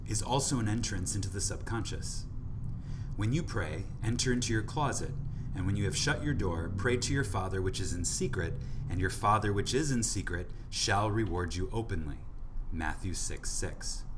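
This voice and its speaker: A man reading an audiobook from a script in an emphatic, deliberate manner, with dramatic pauses for effect. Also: fairly low pitch, lively intonation, background noise. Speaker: audiobook narrator.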